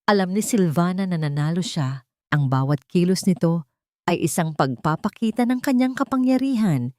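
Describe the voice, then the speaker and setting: A woman narrating a scripted drama story in an expressive, suspenseful way. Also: on the low side, normal speed, no room sound, studio quality.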